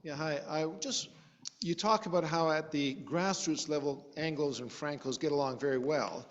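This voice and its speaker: A man's voice: on the high side, moderate pace. A man in the audience asking a direct, pointed question. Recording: distant, reverberant, lo-fi room.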